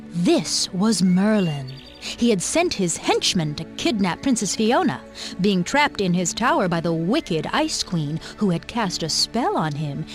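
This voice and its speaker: A woman narrates a storybook tale with slow, deliberate drama. Her pitch moves in lively arcs, and soft music plays behind her.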